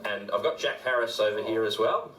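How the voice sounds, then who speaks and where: A man's voice: on the low side, a little fast, a bit bright. An Australian man presenting on community radio, chatting casually live from a roomy, echoing studio.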